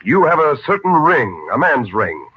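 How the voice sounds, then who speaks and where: A man playing a steady, protective uncle in an old-time radio drama, with an authoritative, deliberate manner. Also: a little high, breathy edge, dry recording. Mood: commanding. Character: steady, protective uncle leading a desert search. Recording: tinny, noisy old radio recording.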